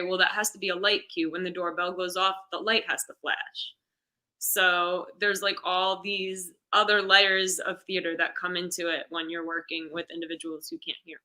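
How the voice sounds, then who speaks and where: A woman's voice: lowish voice, flat delivery, moderate pace, sharp tone, rough recording. An American woman guest chatting casually in an interview, explaining things step by step in an informal way. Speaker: guest, sign language interpreter and theater stage manager.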